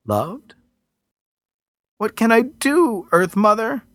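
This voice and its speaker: A terrified, devoted man begging an unseen goddess, his voice pushed up high and whimpering, words leaping up and down, as a male storyteller plays him. It is recorded dry and close. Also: big pitch swings, slow pace, a bit bright, no echo, heavily acted. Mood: pleading. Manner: pleading, whimpering, raised pitch. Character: frightened, devoted naked man in a forest.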